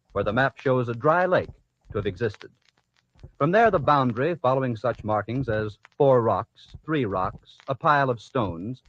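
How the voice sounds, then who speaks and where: A man reading a formal script as an old-time radio announcer. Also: medium pitch. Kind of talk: formal scripted radio narration and sponsor message. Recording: dull, noisy old radio recording.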